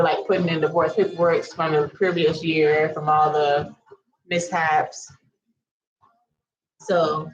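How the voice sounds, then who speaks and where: A woman tells a personal story as if to friends. She is chatty and slangy, often breaking off for asides. The sound is slightly dull and lo-fi. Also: fairly low pitch. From US Virgin Islands. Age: around 30. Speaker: storytelling vlogger.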